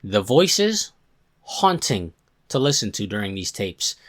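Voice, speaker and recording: A man giving casual, slangy reaction commentary as a video host, sarcastic and quick to exclaim. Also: fairly low pitch, sing-song, a bit bright.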